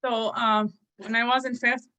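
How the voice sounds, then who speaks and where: Higher-voiced woman from Gaza. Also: flat delivery, unhurried, pushed and bright. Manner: clear, matter-of-fact recounting. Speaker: panelist. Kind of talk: personal storytelling answer.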